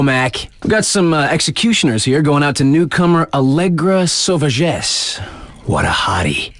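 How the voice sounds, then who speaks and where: Lively, cocky snowboard radio DJ. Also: male voice, on the high side, no room sound.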